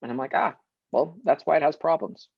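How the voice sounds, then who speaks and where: Young adult male guest, emphatic and animated, mid pitch, dry slightly muffled audio. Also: fairly quick, creaky, husky, no echo. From Syria. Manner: animated, emphatic, laughs often. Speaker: guest actor. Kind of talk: casual interview chat.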